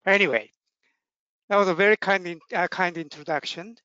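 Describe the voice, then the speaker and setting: Japanese-accented man, halting and self-deprecating. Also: sits high, pushed and bright, clean tone, no echo. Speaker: neurologist presenter. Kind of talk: webinar presentation. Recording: muffled dry webinar audio.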